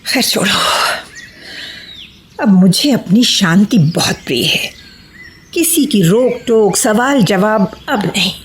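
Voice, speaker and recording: A slow-spoken, reserved loner. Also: female voice, mid-range voice, expressive, a little hiss or hum. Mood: pensive, guarded. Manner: measured, pensive, guarded. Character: reserved, solitary woman who cherishes silence. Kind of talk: Hindi radio play acting.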